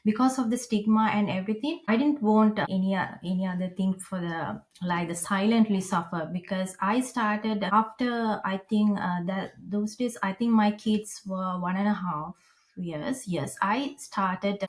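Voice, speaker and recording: Sri lankan lady on a podcast, earnest, lots of uh and restarts. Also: medium pitch. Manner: earnest, halting, many fillers and restarts. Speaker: podcast guest. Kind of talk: personal story in an interview.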